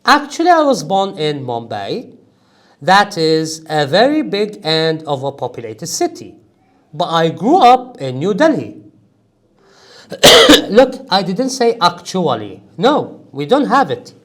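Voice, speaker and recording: A man teaching an English speaking-test class, emphatic and animated, joking with his students. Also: a little high, slow. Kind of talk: classroom lecture.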